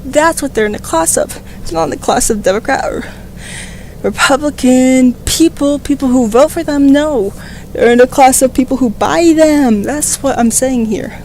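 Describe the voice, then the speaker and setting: A slightly hoarse woman reading a political text aloud on a stream and breaking into rambling, profane, emphatic commentary into a desk microphone. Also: high voice, normal speed, a little mellow, slight background noise. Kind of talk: casual read-aloud with commentary.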